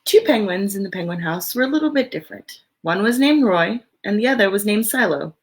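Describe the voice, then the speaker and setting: A woman reading a picture book aloud to children in an expressive storytelling way, with casual, chatty asides. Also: mid-range voice, a little fast.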